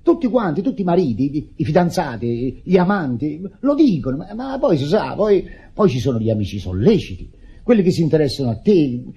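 An actor playing an indignant, self-pitying widower in a radio drama, declamatory and stammering in agitation. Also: male voice, on the high side, sing-song, a little fast, mellow, slightly rough, full character voice. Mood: agitated, defensive. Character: indignant grieving widower, theatrical, self-pitying, berating his sister. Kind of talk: Italian radio drama acting. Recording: dull lo-fi old radio recording.

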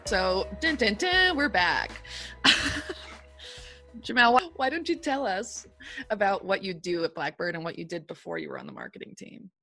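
A woman hosting a podcast, talking in a relaxed, conversational way. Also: medium pitch, unhurried, faint music behind. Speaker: podcast host. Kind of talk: casual podcast chat.

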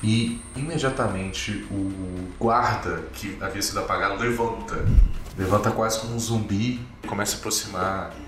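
A Brazilian man working as game master, improvising tabletop RPG narration in a halting, descriptive way with frequent tag questions. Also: lowish voice. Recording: very echoey room, distant, music behind. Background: music.

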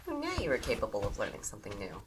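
Low-voiced, teasing goth flatmate. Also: female voice, very fast, music in the background, full character voice. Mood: encouraging, teasing. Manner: teasing, mock-stern, motherly. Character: bossy, teasing, caring young goth witchy flatmate. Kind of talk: audio drama acting.

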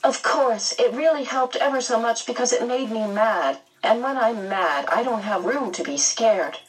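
A young storybook heroine played by a woman narrator. She speaks in a raised pitch, earnest and emphatic, with fierce protective resolve. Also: lively intonation, normal speed, recorded from a distance, natural voice, low-quality recording.

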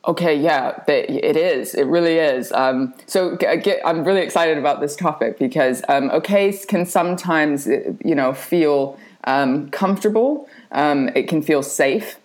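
A woman's voice: sits low, a little slow, hardly any pauses, a little mellow. A woman therapist and life coach hosting a podcast interview, upbeat and encouraging.

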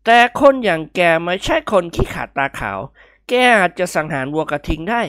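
A woman voicing a calm young doctor who lectures his friends firmly in a storybook reading. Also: lowish voice, fairly quick, dry recording, studio quality. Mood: lecturing.

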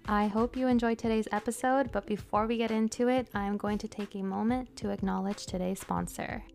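A woman hosting her own reflective podcast, congested from a cold and speaking slowly and gently as she reads her own poetry. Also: mid-range voice, steady tone, gravelly, over music. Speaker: podcast host.

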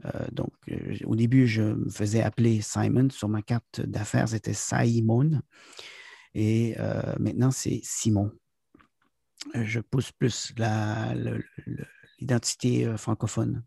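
A man's voice: fairly low pitch, little pitch movement, measured pace, vocal fry, warm tone, husky, dry recording, studio quality. A man from Quebec with a Québécois French accent, a guest in an interview, talking casually and hesitantly with many fillers and restarts. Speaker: guest, trainer and author.

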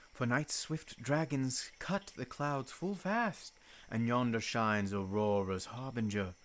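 An actor playing a mischievous goblin spirit in a Shakespearean radio play, delivering playful rhymes in a theatrical way. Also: medium pitch. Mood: urgent, eerie. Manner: playful, rhythmic rhyming.

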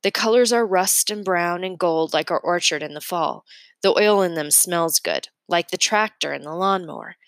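A woman reading a children's novel aloud in an expressive, first-person storybook style. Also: lowish voice, normal speed, no room sound, studio quality.

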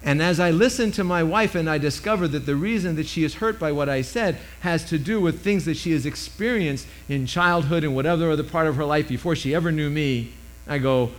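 A man in his sixties with a loud voice, preaching a sermon into a microphone with emphatic swings between loud and hushed. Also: on the high side, a little fast.

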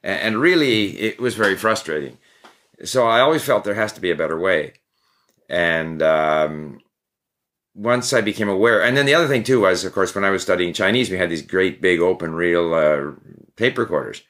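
An older man from Canada, a guest in an interview, telling his story in a relaxed, reflective way. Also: low voice, moderate pace. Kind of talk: interview conversation.

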